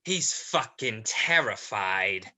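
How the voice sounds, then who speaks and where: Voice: medium pitch, lively intonation, takes it slowly, sharp tone, full character voice. An actor playing a prickly, menacing imprisoned monster in a dramatized play reading, indignant and profane in manner. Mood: dark, scheming.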